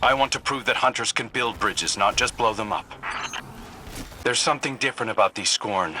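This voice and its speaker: An actor playing an earnest, duty-bound sci-fi commander, giving measured, serious mission briefings in a video game. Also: male voice, on the low side, sharp tone, a little hiss or hum. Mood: focused, urgent.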